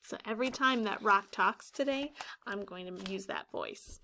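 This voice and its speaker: A woman in her thirties, a teacher explaining a children's story with deliberate enunciation. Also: mid-range voice, pushed and bright, a little hiss or hum. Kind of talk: instructional children's read-aloud. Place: small room indoors, classroom or office decor.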